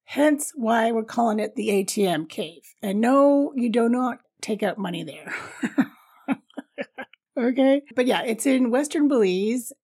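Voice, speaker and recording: A middle-aged woman with a raspy voice hosting a travel podcast in an informal, upbeat, jokey, chatty way. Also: a little high, a little slow, a little mellow. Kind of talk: chatty solo podcast storytelling.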